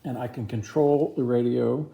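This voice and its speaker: A man's voice: mid-range voice, steady tone, moderate pace, gravelly, muffled recording. A man giving a casual, informal product review, explaining features plainly step by step.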